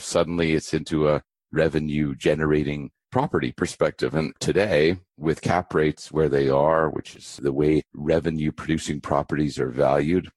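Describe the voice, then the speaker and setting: A middle-aged man from Canada, a guest giving measured, emphatic business advice in a podcast interview. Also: very deep voice, a little mellow, breathy edge, dry recording. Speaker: entrepreneur guest.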